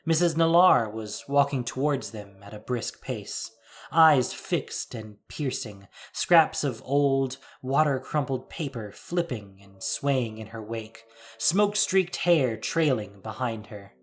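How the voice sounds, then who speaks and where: A narrator of medium register giving an expressive, dramatic scripted reading of fiction. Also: medium pitch, takes it slowly.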